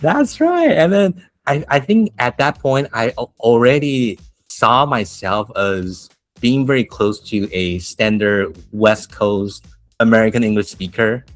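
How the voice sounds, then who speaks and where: A man with a Taiwanese accent who teaches English, chatting casually and telling animated stories with laughs and restarts. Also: medium pitch, a little slow, no echo. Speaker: English teacher, podcast host. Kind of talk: casual chat.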